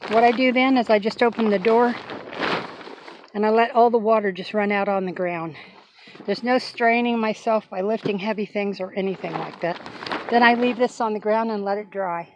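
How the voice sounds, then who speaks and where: A woman's voice: medium pitch, fairly even intonation, moderate pace, noisy background. An older woman vlogging from inside her cargo van, chatting folksy and casual as she demonstrates something, often apologizing and asking for patience. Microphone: handheld phone camera.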